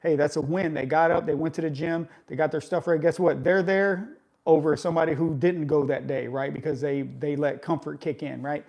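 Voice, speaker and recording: A man in his forties with a slightly higher voice than most men talks fitness with his live audience. He sounds loose and conversational, like a friendly coach. He keeps checking in with listeners, ending points with a quick "right?". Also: steady tone, gravelly, darkish tone. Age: 40s. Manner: conversational, coaching, often tags remarks with "right?". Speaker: fitness vlogger. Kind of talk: casual live chat with viewers.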